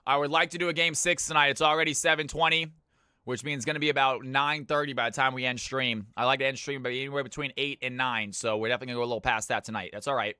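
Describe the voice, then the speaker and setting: A man hosting viewer games on a live stream, chatting casually and thanking viewers, with sudden loud hype-announcer bursts. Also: medium pitch, fairly even intonation, fast, smooth, dry recording, clean studio sound. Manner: hype announcer bursts between easygoing chat.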